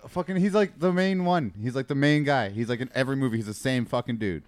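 A man's voice: medium pitch, fast, no echo. A man bantering crudely with friends, joking, laughing often and slipping into mock voices.

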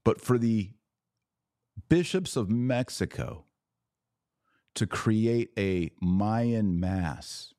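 A man's voice: on the low side, animated, extremely slow, long pauses, warm tone, no echo, studio quality. A man hosting a podcast, giving opinionated commentary with emphatic, repeated phrases. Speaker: podcast host.

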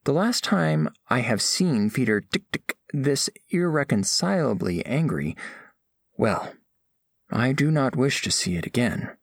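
A scholarly alien envoy, played by a man, speaks slowly and with great formality. The pitch rises and falls widely as each precise phrase is set out, in a close, dry recording. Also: very animated, gravelly, no room sound, exaggerated voice. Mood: grave, puzzled. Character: formal, scholarly alien diplomat narrating a first contact. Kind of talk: scripted audio fiction narration.

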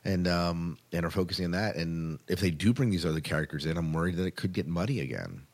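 A man hosting a podcast, chatting casually about television in a halting way, often restarting his phrases. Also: deep voice, expressive, a little mellow. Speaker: podcast host. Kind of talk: casual chat about TV shows.

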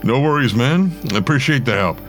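A man voicing a crude, brisk leader of a search party who talks bluntly and in a hurry. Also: mid-range voice, animated, fairly bright tone, faint music behind, natural voice, rough recording. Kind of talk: storytelling.

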